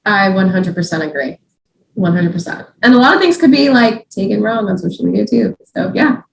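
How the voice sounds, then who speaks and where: A woman's voice: medium pitch, expressive, a little fast, creaky, slight background noise. A woman from the Czech Republic, a podcast guest, chatting in an upbeat, agreeable way. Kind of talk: casual chat. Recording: echoey room.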